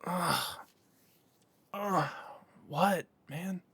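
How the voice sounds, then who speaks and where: A man's voice: high voice, very slow, fairly bright tone, husky, exaggerated voice. A man playing a weary, resigned young man in an audio drama, casual and dismissive. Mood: groggy. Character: sleepy, resigned young man, Aaron, downplaying strange noises. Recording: music under the voice.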